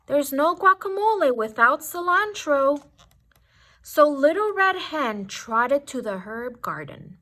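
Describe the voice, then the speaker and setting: A woman reading a children's story aloud with expressive, deliberate and dramatic phrasing. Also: sits high. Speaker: storybook reader.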